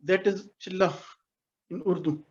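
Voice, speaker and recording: A man in his forties from Pakistan telling his life story on a video call, speaking haltingly with many restarts and self-corrections. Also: high voice, fairly even intonation, highs cut off. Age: 40s. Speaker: guest speaker. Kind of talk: personal life story talk.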